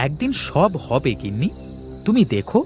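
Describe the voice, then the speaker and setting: A man's voice: a little high, huge pitch swings, moderate pace, darkish tone, soft background music. A man voicing a poor, cheerful farmhand and devoted husband in a cartoon, earnest and coaxing. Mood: gentle, coaxing. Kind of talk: Bengali cartoon voice acting.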